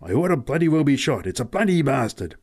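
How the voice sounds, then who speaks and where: A man voicing a touchy, swearing older farmer in a spoken story, shouting in short bursts. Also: mid-range voice, big pitch swings, very fast, breathy edge, pitched above the performer's own voice. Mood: urgent.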